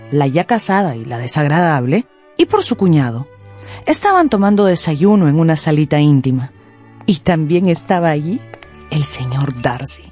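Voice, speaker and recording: A woman narrating a scripted radio drama in an expressive storytelling manner. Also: fairly low pitch, breathy edge.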